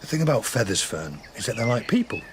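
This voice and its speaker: A kind middle-aged birdwatcher played by a man, gentle and teasing, with a very lively, swooping pitch. He speaks plainly amid clear outdoor noise and birdsong, radio play style. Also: fast talker, natural voice. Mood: gentle, frank. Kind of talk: naturalistic radio drama acting.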